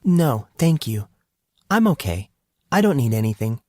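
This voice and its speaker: A man's voice: mid-range voice, big pitch swings, measured pace, a little mellow, no room sound, studio quality, pitched above the performer's own voice. A man voicing an exasperated, cool teenage girl with a curt, teasing manner in an audiobook. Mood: polite, curt. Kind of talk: audiobook character voice.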